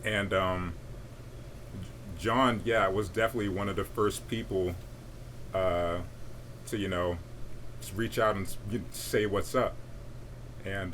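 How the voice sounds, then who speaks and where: Deep-voiced young man, laid-back. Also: steady tone, a little slow, pauses often, a bit bright, slight background noise, low-quality recording. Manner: laid-back, rambling with many fillers. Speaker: podcast co-host. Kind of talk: casual chat.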